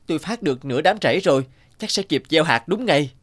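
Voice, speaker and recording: A man voicing a hardworking, determined young peasant farmer in a cartoon, earnest and expressive. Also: a little high, fairly quick, close to an everyday voice. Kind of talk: cartoon dialogue acting.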